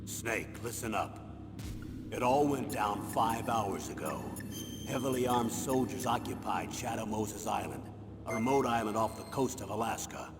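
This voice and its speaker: An actor playing a stern older military commander in a video game, formal, measured and authoritative. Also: male voice, on the low side, music in the background. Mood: grave, measured. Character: stern older male military commander giving a mission briefing. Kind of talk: dramatic video game voice acting. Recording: noisy, long reverberant hall sound.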